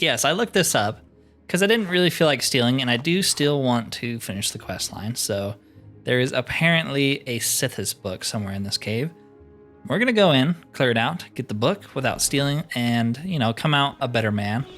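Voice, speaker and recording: A man's voice: medium pitch, a bit bright. A man giving casual, chatty let's-play commentary, often explaining himself in asides. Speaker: host of a gaming playthrough.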